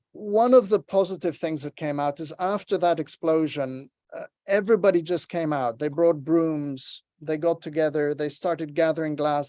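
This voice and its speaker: A man's voice: fairly high pitch, darkish tone, dry recording. A man from Lebanon giving an online workshop talk, earnest and encouraging as he tells a story over a video call. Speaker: workshop presenter.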